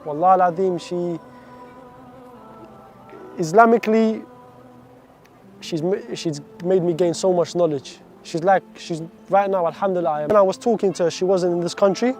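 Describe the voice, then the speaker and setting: A man's voice: high voice, normal speed, long pauses, darkish tone, faint music behind. A man in his twenties from Iraq, giving an earnest, heartfelt faith testimony full of devout phrases. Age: 20s.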